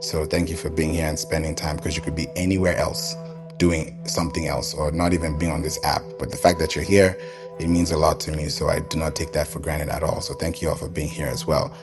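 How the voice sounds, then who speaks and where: A man's voice: rumbling low, level tone, brisk, music in the background. A man who grew up in Kenya hosting a live audio room, welcoming listeners and thanking them often. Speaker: host.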